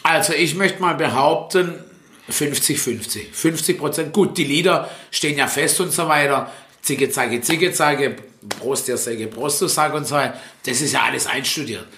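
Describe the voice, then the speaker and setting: A man's voice: fairly high pitch, normal speed, sharp tone. Italian-born man, rambling and roomy-sounding. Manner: rambling, lots of fillers and self-corrections, tag "ne?". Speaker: festival tent jester and stage host. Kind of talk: relaxed interview answers. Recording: roomy echo with faint background noise.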